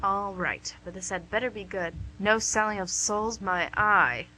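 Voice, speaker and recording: Devil for radio comedy, played by a woman: bright, forceful voice, smug salesmanship, teasing rhetorical questions. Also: medium pitch, a little slow, low-quality recording. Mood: haggling. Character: the Devil, wheeling-dealing, smug, teasing tempter. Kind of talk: radio play comedy acting.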